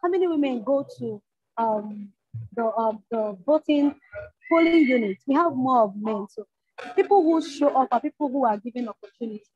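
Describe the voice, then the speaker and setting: A woman's voice: a little high, animated, normal speed, darkish tone, husky. A young Nigerian woman who leads a campus group, speaking earnestly on a panel over a video call, urging and repeating phrases for emphasis. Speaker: panelist, leader of a campus organization. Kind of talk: impassioned panel talk. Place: indoor room at home. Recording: muffled lo-fi video call audio.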